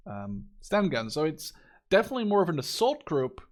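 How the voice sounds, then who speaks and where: Higher-voiced young man doing game commentary. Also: wildly swooping pitch, a little fast. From Canada or the Netherlands. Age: 20s. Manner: rambling, thinking aloud, enthusiastic. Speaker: gaming video creator. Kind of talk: casual unscripted game commentary.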